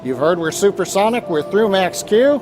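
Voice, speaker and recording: A man's voice: high voice, lively intonation, normal speed, over music. A man giving live technical commentary as part of a launch broadcast.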